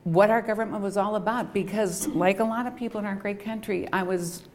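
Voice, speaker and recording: A woman's voice: mid-range voice, steady tone, a little fast, darkish tone, slight background noise. A middle-aged woman from Minnesota introducing herself to a group in an emphatic, enthusiastic way, stretching words she stresses. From Minnesota, United States. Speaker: political discussion panelist. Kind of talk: casual personal introduction to a group.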